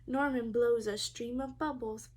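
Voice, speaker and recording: High-voiced woman reading a children's storybook. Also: measured pace, background noise. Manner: expressive, addressing young children directly. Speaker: preschool teacher reading aloud.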